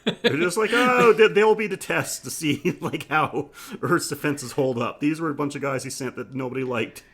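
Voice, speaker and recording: A man's voice: a little high, moderate pace, a bit bright, husky. A man co-hosting a podcast, chatting casually through a film review and laughing easily as he talks. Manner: laughs easily while talking. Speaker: podcast host.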